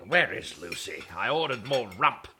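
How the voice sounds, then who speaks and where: A blustering head of the household in a period radio drama. He is pompous and demanding, his pitch leaping about, with clinking cutlery and dishes loud around him. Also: sing-song, moderate pace. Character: blustering, bossy, pompous master of a 19th-century house. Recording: clattering dinner-table noise.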